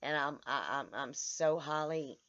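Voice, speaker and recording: Hoarse woman, vlogger, tired, halting delivery full of restarts; muffled home-video sound. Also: low-pitched, fairly bright tone. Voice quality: halting, many restarts and stammers. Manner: tired, speaks in short broken phrases. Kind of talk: casual vlog to followers. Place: outdoors in the sun.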